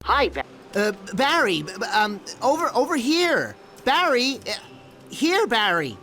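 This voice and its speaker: An actor playing an eager, nervous young bee best friend in a cartoon game, earnest and prone to stammering. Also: male voice, very high-pitched, wildly swooping pitch, unhurried, bright, forceful tone, slight background noise, exaggerated voice. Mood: flustered. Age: young adult.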